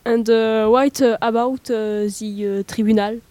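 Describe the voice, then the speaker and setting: Mellow, hesitant French teenage girl. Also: a little high, fairly even intonation, unhurried, no room sound. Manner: hesitant, colloquial. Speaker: student interviewer and translator. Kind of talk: informal questioning and interpreting.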